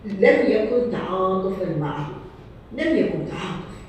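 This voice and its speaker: Female poet, rapid literary recitation, recorded from a distance with strong room echo. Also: medium pitch, sing-song, darkish tone. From Iraq. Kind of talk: literary poetry reading. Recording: very echoey, distant, faint noise.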